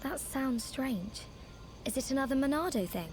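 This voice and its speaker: A woman's voice: sits high, lively intonation, normal speed. An actress playing a protective, strong-willed young woman in a video game cutscene, firm and plain-spoken. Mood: curious. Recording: game music under the voice. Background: loud background music.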